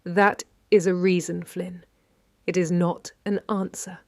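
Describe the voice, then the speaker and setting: British lady sleuth from a 30s radio mystery, measured, dry wit, sharp and composed. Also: lowish voice, level tone, gravelly, no room sound. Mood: wry, probing. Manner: measured, wry, precise. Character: shrewd, composed 1930s London lady detective. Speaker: narrator and lead character. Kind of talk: first-person detective audio drama narration.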